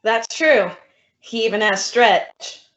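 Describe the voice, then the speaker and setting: A woman acting a dry, quick-witted schoolteacher in an old-time radio comedy. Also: on the high side, a bit bright. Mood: dry, wry. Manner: dry, sardonic. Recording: echoey room, dull audio.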